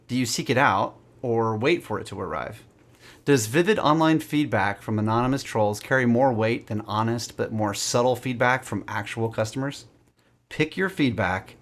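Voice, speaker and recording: A man's voice: mid-range voice, fairly bright tone. A man co-hosting a podcast in an easygoing, joking way, reading a short blog post aloud. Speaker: podcast co-host.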